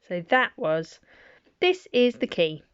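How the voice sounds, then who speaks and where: A middle-aged woman, reading nursery rhymes aloud as a bedtime story, with gentle chatty asides. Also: a little high, sing-song, slow, clear, resonant tone, dry recording, lo-fi sound.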